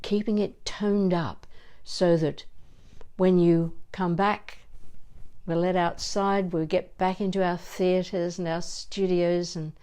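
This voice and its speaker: Expressive low-voiced woman voice coach. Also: takes it slowly, clear, resonant tone. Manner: expressive, encouraging, demonstrates with sighs and playful asides. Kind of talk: instructional warm-up demonstration.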